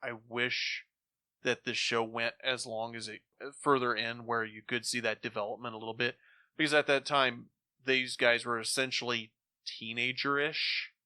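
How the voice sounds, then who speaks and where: A man's voice: mid-range voice, little pitch movement, moderate pace, bright, forceful tone, dry recording. A man speaking casually as a podcast panelist, discussing and reviewing. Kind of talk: casual discussion of radio drama.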